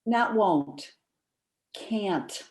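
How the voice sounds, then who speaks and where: A woman's voice: medium pitch, expressive, drawn-out words, a little mellow. A woman acting a coy, sheepish friend who guards a secret, giving hesitant, evasive replies in a conversational comic one-act.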